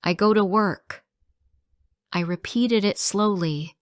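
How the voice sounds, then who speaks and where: A woman reading a simple first-person story for English learners, in slow, clearly spoken sentences. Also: mid-range voice, no echo, clean studio sound.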